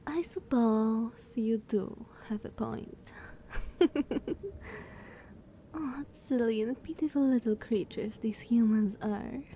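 A woman voicing a playful, eager younger vampire sister in a teasing, breathy ASMR roleplay. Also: fairly high pitch, dark tone, slight background noise. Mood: teasing amusement. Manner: slow, teasing, breathy half-whispers.

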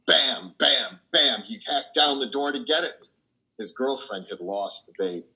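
An older man playing a troubled, tender priest who confesses his doubts haltingly and fervently in a play reading over a video call. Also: medium pitch, expressive, sharp tone, poor audio. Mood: agitated, aggrieved. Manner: halting, fervent, with repeated words. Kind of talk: dramatic monologue in a play reading. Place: wood-panelled room at home, indoors. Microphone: video call, computer microphone.

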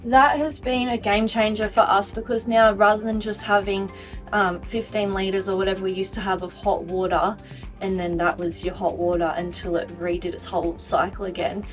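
A young Australian woman talks through her caravan in an easy, upbeat vlog manner, her voice sitting at an ordinary middle pitch. She fills her sentences with ums and likes. Soft music plays faintly underneath. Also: level tone, normal speed. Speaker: vlogger showing a caravan. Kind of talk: casual walk-through chat.

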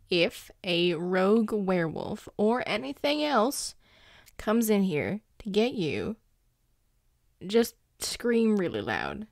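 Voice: mid-range voice, big pitch swings, drawn-out words, pauses often, dry recording, full character voice. An actor playing a grumpy but caring werewolf boyfriend in an intimate audio roleplay, dry and exasperated, with sighs. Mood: sarcastic, teasing. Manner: sighing, dry, exasperated, teasing.

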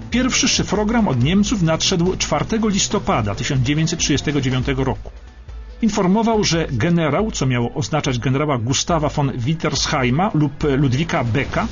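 A man's voice: a little high, muffled recording. A man delivering scripted historical documentary narration for radio. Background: clear background music.

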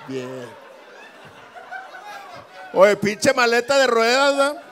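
A middle-aged man with a Mexican Spanish accent doing stand-up crowd work on a handheld mic, brash and teasing, swearing and bursting into shouts. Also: very high voice, very animated, slow pace, slight background noise. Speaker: stand-up comedian working the crowd. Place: small comedy club stage with live audience.

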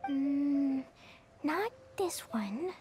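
A woman's voice: sits high, lively intonation, drawn-out words, exaggerated voice. A woman voicing a bubbly, innocent, impulsive little girl in an anime game, childlike and earnest. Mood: eager. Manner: childlike, wide-eyed, earnest. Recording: game music behind.

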